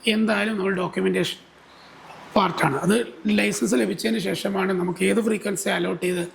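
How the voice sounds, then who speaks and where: A man speaks in a high, creaky voice. He explains things as an interview guest, dropping in English terms. There is clear noise in the recording. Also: steady tone, normal speed. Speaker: guest expert on a company podcast.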